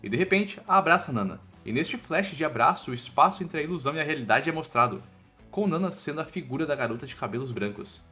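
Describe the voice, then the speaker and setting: A man's voice: fairly high pitch, expressive, fast, bright, forceful tone. A man with a Brazilian accent narrating a scripted comic story recap in a playful, colloquial storytelling manner. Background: background music.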